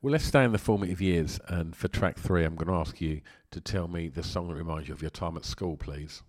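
Host, man, very low voice; short polite prompts, close dry recording. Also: a little fast, a little mellow, no room sound. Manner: brief prompts and polite questions. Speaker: interview host. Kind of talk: conversational interviewing.

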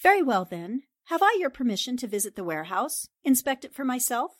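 Actress as plucky female columnist, fairly high voice, assertive, coolly polite, dry close sound, old-time radio mystery. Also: big pitch swings, a little fast, dry recording. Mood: coolly insistent. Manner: crisp, assertive, politely pointed. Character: confident, determined female newspaper columnist and sleuth. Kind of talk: 1940s radio drama acting.

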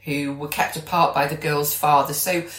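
A woman's voice: sits low, flat delivery, normal speed, a bit bright. British woman chatting in an echoey room. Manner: chatty, explanatory. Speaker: podcast co-host. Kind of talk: casual chat. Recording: roomy, echoey sound.

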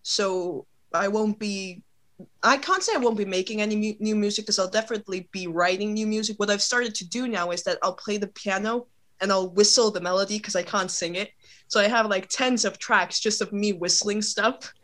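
A woman singer chats as a guest in an easygoing way. She is resting a strained voice. Her voice sits at a middle pitch. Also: normal speed, fairly bright tone.

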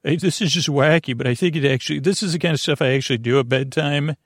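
Male sleep-podcast host, gravelly fry, dry studio sound, halting and meandering bedtime rambler who stumbles on words. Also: a little high, no echo.

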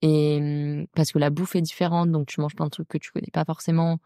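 A young woman with a French accent from France speaks in a low, soft-edged, relaxed voice. The sound is close and dry. She chats informally as a podcast host, using slang and talking directly to the listener. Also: a little fast, no room sound. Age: young adult. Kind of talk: casual solo chat about personal experience.

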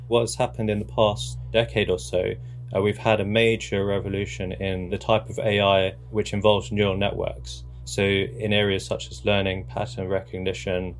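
Low, even-voiced man explaining academically. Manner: formal, measured wording. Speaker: expert interviewee, philosopher and scientist.

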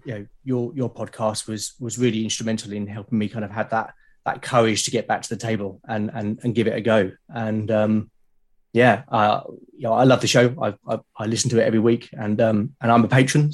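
A British man talking casually and earnestly as a podcast guest, with an encouraging way of speaking. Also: fairly low pitch. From Britain. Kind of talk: casual interview chat.

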